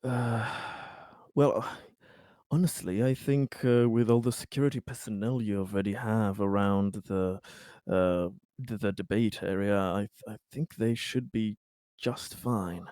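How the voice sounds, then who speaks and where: A man's voice: on the low side, steady tone, measured pace, mellow, no echo, clean studio sound. A man improvising as a player in a tabletop roleplaying game, speaking hesitantly with stammered restarts. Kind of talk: improvised in-character tabletop play.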